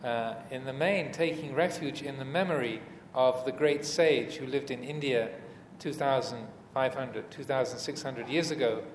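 A man gives a reflective dharma talk in a big, reverberant room, with some noise behind him. He speaks in measured short phrases and pauses often as he teaches. The echo of the hall trails his words. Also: medium pitch. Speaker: Buddhist monk teacher giving a dharma talk.

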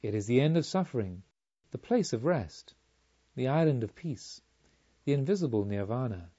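A man voicing a serene, wise woman disciple of the Buddha in a calm, solemn, teaching manner for an audiobook. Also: medium pitch, moderate pace, slightly rough, muffled recording. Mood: solemn, reverent.

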